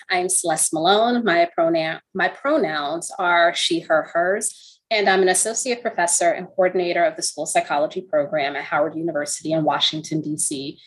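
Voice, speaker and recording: A middle-aged woman with a slightly low voice speaks on a panel in a measured, articulate academic manner. Her phrasing is careful and deliberate. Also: poor audio. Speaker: panelist, professor. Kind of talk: panel discussion.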